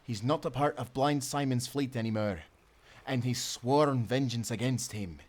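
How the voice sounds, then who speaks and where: An actor playing a confident, loyal Scottish sailor who talks in jaunty nautical dialect in an audio adventure. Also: male voice, medium pitch, moderate pace, natural voice. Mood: earnest, planning. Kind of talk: cinematic audio adventure acting.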